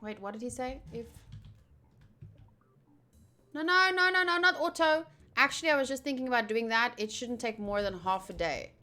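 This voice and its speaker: A woman's voice: a little high, expressive, normal speed, long pauses, pushed and bright. A woman livestreaming a game, chatting casually and reading game text aloud as she reacts to it and to viewers. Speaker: streamer playing a visual novel. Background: game music.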